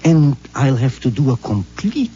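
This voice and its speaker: Sly puppet maker, swooping sing-song voice, mellow tone, dull old radio sound. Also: male voice, mid-range voice, sing-song, measured pace, slightly rough, heavily acted. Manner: suave, knowing, sing-song. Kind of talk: 1950s radio drama acting.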